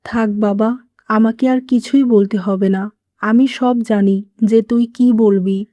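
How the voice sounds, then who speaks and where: A woman's voice: on the high side, steady tone, slow, dark tone, no echo. A woman playing a remorseful, wounded elderly mother-in-law in a cartoon moral story, speaking in a plaintive, self-blaming way. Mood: sorrowful, resigned.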